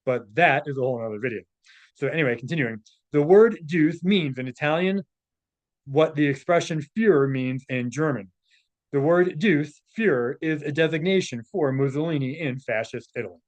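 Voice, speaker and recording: A man reading aloud as a presenter, with informal asides, sometimes halting over unfamiliar words and names. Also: fairly high pitch.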